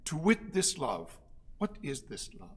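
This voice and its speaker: An actor playing a servile, pompous orator in a radio play, with a declamatory, halting, pleading manner. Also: male voice, a little high, wildly swooping pitch. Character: servile, pompous male orator, anxious before his lord. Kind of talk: theatrical radio play acting.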